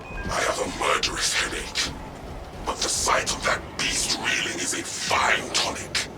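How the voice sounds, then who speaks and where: A man's voice: unhurried, heavy background noise, lightly acted. A man playing a devout, steadfast soldier in a video game, speaking formally and solemnly. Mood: weary resolve. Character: devout, steadfast soldier-brother reporting from battle. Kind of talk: game cutscene acting.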